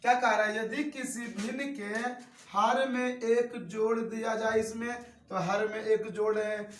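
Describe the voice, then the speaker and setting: High-voiced young male math teacher. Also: fairly even intonation, a little slow, roomy sound, far from the mic, a little hiss or hum. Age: 20s. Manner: repeats each step and asks students questions. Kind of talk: classroom-style lesson.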